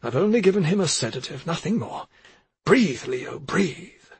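Man voicing a measured, high-pitched old woman doctor. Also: very animated, husky, dry recording, full character voice. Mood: authoritative, soothing. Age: older. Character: frail, calculating elderly woman doctor, coldly composed. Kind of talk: audiobook character dialogue.

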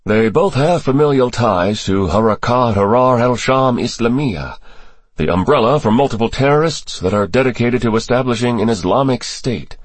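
A man voicing an assured male intelligence operative in an audiobook thriller, speaking in a measured, collegial way without hesitation. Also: mid-range voice, expressive, no echo, pitched above the performer's own voice, close to an everyday voice.

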